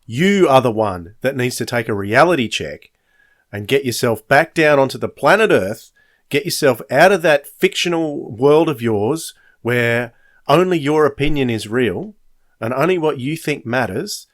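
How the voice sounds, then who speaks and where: A man's voice: mid-range voice, animated, measured pace. A man hosting a podcast, giving an opinionated monologue in an earnest, emphatic way, stressing points by repetition. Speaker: podcast host.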